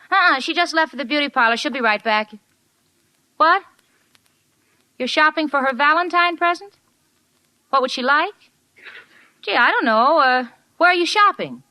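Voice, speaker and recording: A woman's voice: high-pitched, animated, measured pace, long pauses, bright, forceful tone, dry recording, exaggerated voice. An actress playing a sensible, exasperated young woman who coaxes and worries with wry patience, in a 1940s radio sitcom. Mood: brisk, concerned. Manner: wry, coaxing, concerned. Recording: slightly noisy vintage 1940s radio recording.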